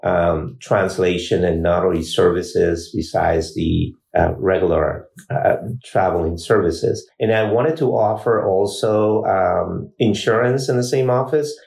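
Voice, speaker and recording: Slow gravelly man in echoey room. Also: low voice, darkish tone. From Peru. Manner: measured, pausing with fillers. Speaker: insurance agent. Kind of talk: conversational interview answers.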